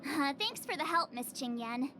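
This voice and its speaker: A woman's voice: high-pitched, expressive, normal speed, bright, forceful tone, slight background noise. A woman voicing an eager, bubbly young girl who plays amateur detective in a video game. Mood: matter-of-fact. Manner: perky, theatrical.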